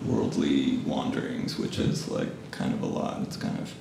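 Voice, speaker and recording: Gravelly-voiced man, hesitant and trailing off. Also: extremely low pitch, huge pitch swings, moderate pace. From Japan. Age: late 30s. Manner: soft, trailing off, hesitant with ums. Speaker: guest photographer. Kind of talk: casual interview. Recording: echoey room, mic at a distance, noise.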